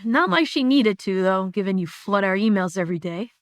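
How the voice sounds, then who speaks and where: A woman's voice: medium pitch, animated, brisk, no echo, close to an everyday voice. A woman acting as a weary, defensive young female idol singer with a dry, terse manner in an audio drama.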